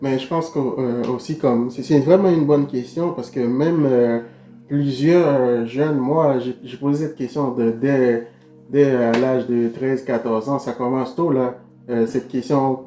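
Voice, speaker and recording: A man's voice: mid-range voice, fairly even intonation, unhurried, dark tone. A man giving informal, encouraging talk about faith, addressing the listener directly and repeating himself for emphasis. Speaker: podcast guest. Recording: roomy, echoing room.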